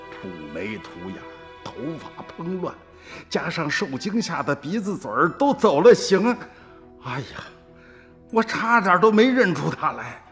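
An actor playing an earthy, worried old farmer in a radio drama, telling his story in a folksy, expressive way. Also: a little high. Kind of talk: radio drama narration and acting.

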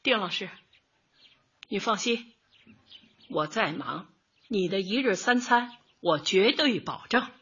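A woman's voice: mid-range voice, animated, slow, pauses often, a bit bright, husky, exaggerated voice. A female actor playing a plucky, folksy, stubborn middle-aged housewife in a comic radio drama, with feisty colloquial speech. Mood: determined. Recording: dull lo-fi audio.

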